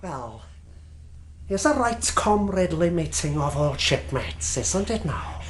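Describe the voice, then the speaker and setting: A man's voice: a little high, very animated, a little slow, breathy edge, exaggerated voice. An actor playing a menacing blind old beggar seaman in a radio play, whining pleas and barking orders. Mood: sly, gloating.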